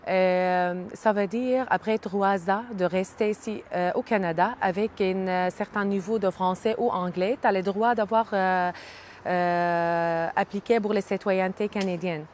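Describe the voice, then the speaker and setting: A woman's voice: mid-range voice, fairly even intonation, a little mellow, a little hiss or hum. Hesitant Syrian woman in her thirties. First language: Arabic. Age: 30s. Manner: hesitant, many pauses and "euh" fillers. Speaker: interviewee. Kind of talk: casual interview.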